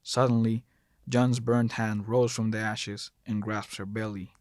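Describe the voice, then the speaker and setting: A man's voice: lowish voice, flat delivery, measured pace, a little mellow, no room sound. A man delivering scripted, dramatic narration for a horror audio drama, close on the mic.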